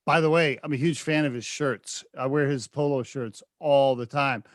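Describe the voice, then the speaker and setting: A man's voice: mid-range voice, fairly even intonation, normal speed, no room sound, clean studio sound. A man in his fifties hosting a podcast interview, chatty and jokey, firing off several questions in a row. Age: 50s. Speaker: podcast host. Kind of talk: casual interview chat.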